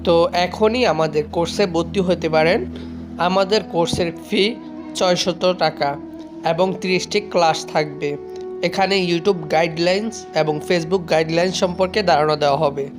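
A presenter of a cartoon channel makes a promotional announcement. The voice is mid-pitched, and music plays behind it. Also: steady tone, moderate pace.

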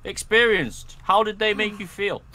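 A high-voiced, bright-sounding survivor played by a man in a zombie game roleplay. He orders his companions about with exasperation. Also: moderate pace. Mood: casual. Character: bossy, exasperated male survivor. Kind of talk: improvised Minecraft roleplay.

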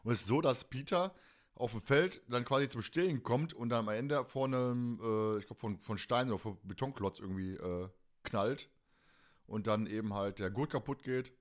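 A man's voice: mid-range voice, normal speed. A man chatting casually as a podcast co-host, retelling things in colloquial language.

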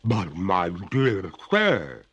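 A man's voice: low-pitched, huge pitch swings, a bit bright, slightly rough, muffled recording, heavily acted. A man voicing a genteel female water ghost who speaks in formal, pleading, ornate phrases. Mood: distressed, chilly. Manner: formal, ornate, drawn-out speech.

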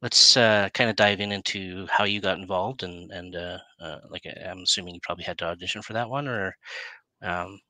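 Bright-voiced Canadian man hosting, lowish pitch. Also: fairly even intonation, fairly quick, no echo, poor audio. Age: middle-aged. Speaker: interview host. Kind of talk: casual interview chat. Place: small room indoors, video call. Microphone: headset.

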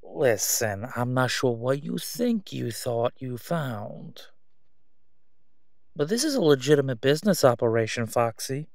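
A man acts out a middle-aged crime boss for an audio drama, sly and self-assured. He draws out his words with mocking condescension, smooth-talking his way along. The sound is close and dry. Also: mid-range voice, lively intonation, a little mellow, dry recording. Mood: feigned innocence. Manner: sarcastic, smooth-talking, drawn-out condescending delivery. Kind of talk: roleplay audio drama monologue.

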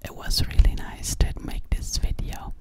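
A young woman whispering ASMR close to a foam mic in a bedroom, slowly repeating trigger words in a breathy whisper. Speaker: ASMR creator. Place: small bedroom, indoors. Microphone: large foam mic held close to mouth.

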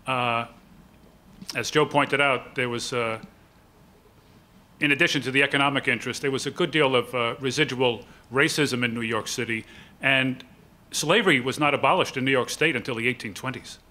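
An older man moderates a panel discussion at a table microphone. His voice is bright and forceful at a middle pitch. He explains points in a measured, hesitant way. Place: lecture hall, at a panel table.

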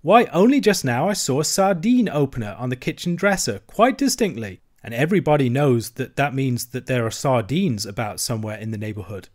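A man's voice: fairly high pitch, very animated, darkish tone. Man voicing a cheery bustling water rat. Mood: reproachful. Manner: brisk, hearty, encouraging.

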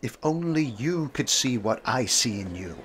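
An actor playing a calm older mentor who gently encourages a young woman to chase her dream. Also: mid-range voice, moderate pace, breathy edge. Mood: encouraging.

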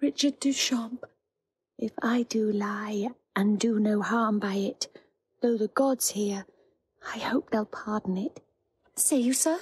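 A woman's voice: mid-range voice, takes it slowly, darkish tone, breathy edge, dry recording. An actress playing a grieving young woman disguised as a faithful page boy in a Shakespearean audio drama, speaking verse in a plaintive, earnest way. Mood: guarded, sad.

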